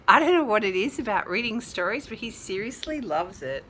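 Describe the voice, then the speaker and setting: A woman reading aloud to young children, adding chatty asides and questions to listeners, with drawn-out emphasis on key words. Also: medium pitch, sing-song, a bit bright, slight background noise. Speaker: children's storyteller. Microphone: phone held nearby.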